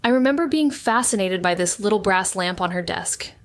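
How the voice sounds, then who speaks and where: A woman's voice: medium pitch, expressive, brisk, close to an everyday voice. A woman playing a wry, self-deprecating young woman back in her hometown, narrating an audio drama in a conversational, sardonic way with rambling asides. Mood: reflective, wry. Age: young adult. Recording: noticeable background ambient noise.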